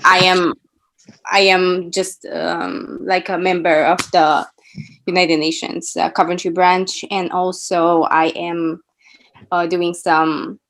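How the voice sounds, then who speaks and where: A woman's voice: on the low side, flat delivery, slow pace, a bit bright. A young woman from Romania, a student member of the group, speaking shyly with many "um" and "like" fillers. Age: young adult.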